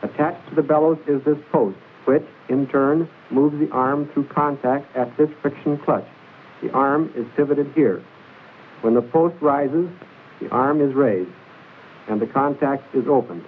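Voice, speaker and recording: A man narrates an old military training film in a formal, measured, precise instructional style, reading from a script. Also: medium pitch, narrow, tinny audio. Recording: hissy band-limited 1940s film soundtrack.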